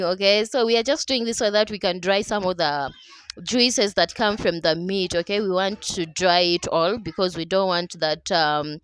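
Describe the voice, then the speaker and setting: A young Kenyan woman with a Kenyan accent chats her way through a home cooking video, switching between English and her own languages. Her voice sits at a middle pitch and is recorded close and dry. She keeps checking in with the viewer and stays loose and friendly. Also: dry recording. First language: Dholuo. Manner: chatty, laughing, keeps asking "okay?". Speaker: cooking vlogger. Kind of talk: casual cooking voice-over. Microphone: voice-over mic.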